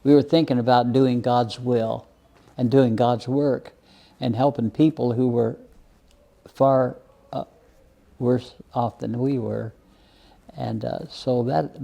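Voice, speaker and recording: A man in his eighties or older telling personal stories as an interview guest, plain-spoken and halting, often repeating words. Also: medium pitch, steady tone, creaky, warm tone. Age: over 80. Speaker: interview guest, retired minister. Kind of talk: reflective personal storytelling in an interview.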